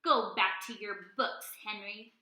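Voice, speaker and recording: A woman's voice: mid-range voice, slow pace, bright, forceful tone, echoey room. A woman voicing a taunting, brusque male pirate rabbit crewmate in a storybook. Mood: dismissive.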